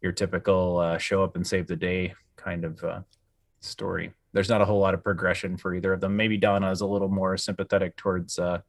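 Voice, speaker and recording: A man's voice: low voice, level tone, brisk, no room sound, studio quality. A man co-hosting a podcast, chatting casually and often hesitating as he rephrases his thoughts. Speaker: podcast co-host.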